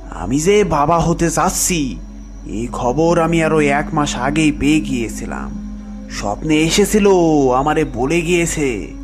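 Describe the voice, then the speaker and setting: A man playing a devoted, emotional village husband and father-to-be in an audio story drama, speaking earnestly and emphatically. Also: a little high. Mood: anxious, determined.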